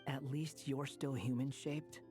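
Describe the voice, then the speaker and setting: A man's voice: medium pitch, fairly even intonation, unhurried, dark tone, natural voice. An actor playing a young male traveler hero in a game, speaking in a dry, understated way. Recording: game audio with clear music behind.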